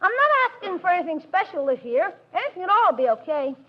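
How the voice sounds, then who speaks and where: Eager young boy, very high lively voice, old radio broadcast. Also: quick pace, lo-fi sound. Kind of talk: 1940s radio comedy acting.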